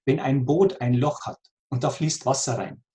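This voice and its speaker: A man in his forties with a Lower Bavarian accent, explaining his work conversationally and earnestly as an interview guest, repeating phrases for emphasis. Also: fairly even intonation, moderate pace, warm tone. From Lower Bavaria, Germany. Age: 40s.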